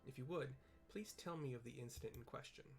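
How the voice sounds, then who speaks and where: A man's voice: mid-range voice, quick pace. A man hosting a gaming video, chatting casually and thinking aloud as he plays and reads game text. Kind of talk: casual chat while playing and reading game text aloud.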